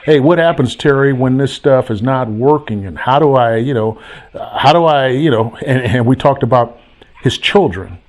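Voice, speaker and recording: Animated man coaching a caller. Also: mid-range voice, normal speed. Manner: animated, preachy, repeats himself. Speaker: host, real estate coach. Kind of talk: casual call-in chat.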